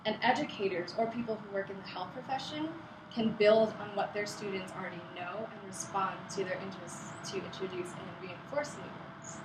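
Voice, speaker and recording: A woman's voice: mid-range voice, brisk, echoey room, background noise. Young woman giving an earnest class speech. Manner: earnest, reads prepared points with casual fillers.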